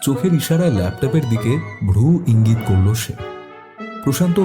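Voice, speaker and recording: A man's voice: medium pitch, sing-song, moderate pace, music in the background. A man narrating a scripted detective story as an audio storyteller.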